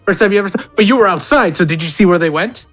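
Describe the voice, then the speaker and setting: A man's voice: high-pitched, animated, very fast, fairly bright tone, faint music behind. A man chatting casually as a player in a tabletop role-playing game, slipping in and out of character.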